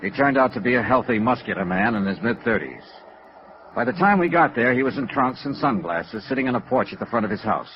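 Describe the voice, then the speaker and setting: An actor playing a matter-of-fact American insurance investigator in 1950s radio noir, narrating and questioning in clipped phrases. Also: male voice, mid-range voice, lively intonation, a little fast, soft background music, natural voice. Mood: crisp narration. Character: steady, businesslike male freelance insurance investigator. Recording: dull, band-limited vintage radio recording.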